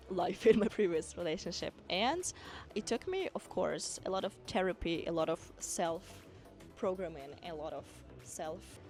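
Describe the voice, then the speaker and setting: A woman's voice: on the low side, darkish tone. A woman from Kazakhstan, a dance school owner and women's coach, giving an earnest, encouraging motivational talk to camera, with small non-native grammar slips. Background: background music.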